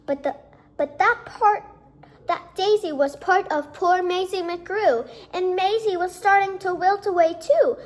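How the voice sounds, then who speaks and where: A woman's voice: extremely high pitch, measured pace. A woman reading a rhyming children's storybook aloud. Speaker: children's book reader.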